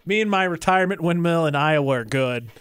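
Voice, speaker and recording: A woman's voice: sits low, expressive, fast, clean tone, no room sound, studio quality. A woman co-hosting a talk show in casual, wry, sarcastic banter. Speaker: radio show co-host.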